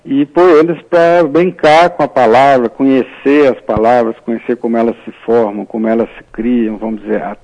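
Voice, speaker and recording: A man's voice: mid-range voice, steady tone, normal speed, hardly any pauses, a little mellow, rough recording. A man, a writer, talking as a guest in a radio interview, speaking thoughtfully and hesitantly, with many fillers and restarts.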